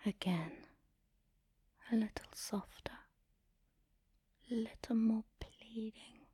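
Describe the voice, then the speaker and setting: A woman speaking in an intimate, commanding and teasing erotic ASMR style, in a breathy whisper close to the mic. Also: on the high side, steady tone, slow pace, warm tone, no echo. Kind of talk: erotic ASMR, guided audio roleplay.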